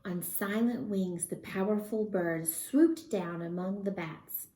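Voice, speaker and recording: Female storybook reader: unhurried, expressive read-aloud delivery for young children, recorded with audible room echo. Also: medium pitch. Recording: roomy, echoey sound.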